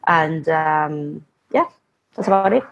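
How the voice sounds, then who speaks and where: A woman's voice: deep voice, lively intonation, takes it slowly, creaky, muffled recording. A woman from Argentina speaking as a podcast guest, explaining things in a casual, conversational way. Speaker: podcast guest, open source funding co-founder.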